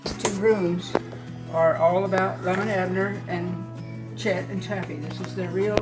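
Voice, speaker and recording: Elderly woman, lowish voice, halting tour talk. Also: steady tone, over music, low-quality recording. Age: 80s. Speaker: museum and gift shop keeper giving a tour. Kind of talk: informal guided tour.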